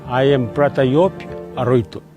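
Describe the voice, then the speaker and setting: A man's voice: mid-range voice, moderate pace. A man from Kiribati with a Kiribati accent, a religious brother telling his own story in non-fluent English, piecing it together word by word. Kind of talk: personal testimony. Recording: music bed behind the voice.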